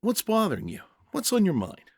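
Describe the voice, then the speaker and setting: A man voicing a kind, serene male prisoner in a story reading, speaking softly, slowly and with tender reassurance. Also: sits high, wildly swooping pitch, no room sound, studio quality, pitched above the performer's own voice, exaggerated voice. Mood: gently probing. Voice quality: soft, kind voice. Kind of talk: dramatic short-story reading.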